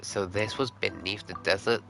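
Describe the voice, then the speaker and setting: A man's voice: fairly low pitch, steady tone, moderate pace, vocal fry, pushed and bright. A man livestreaming a video game, giving commentary and reading game dialogue aloud over game music.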